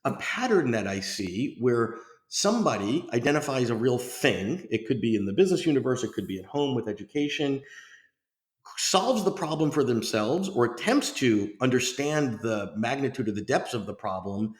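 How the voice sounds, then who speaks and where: A man's voice: medium pitch, sing-song, normal speed. A man hosting a podcast, who rambles and restarts his sentences a lot. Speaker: podcast host. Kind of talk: podcast interview. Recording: roomy echo.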